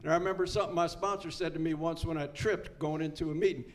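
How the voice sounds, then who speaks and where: A man in his seventies speaks at a recovery meeting with a voice pitched a little higher than most men's. He is folksy and earnest, and he repeats his key lines so they land. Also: steady tone, quick pace, a little hiss or hum. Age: 70s. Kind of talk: personal story told to a live meeting.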